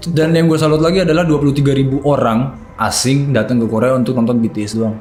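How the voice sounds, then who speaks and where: A man's voice: medium pitch, steady tone, fast, darkish tone. A man in his twenties with a Jakartan Indonesian accent chats casually in slang, repeating words for emphasis. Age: 20s. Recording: echoey room with music underneath.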